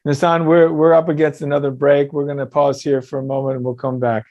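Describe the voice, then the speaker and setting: A man's voice: on the high side, level tone, fairly quick, darkish tone, no echo, muffled recording. A man hosting a conversational interview show, affirming his guest and feeling his way through his phrasing with some hesitation.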